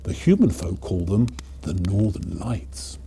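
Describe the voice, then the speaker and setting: A man's voice: sits low, very animated, a little slow, gravelly, warm tone, slight background noise, heavily acted. A man voicing a confident young female elf in a storybook, giving an earnest, solemn speech. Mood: serene confidence.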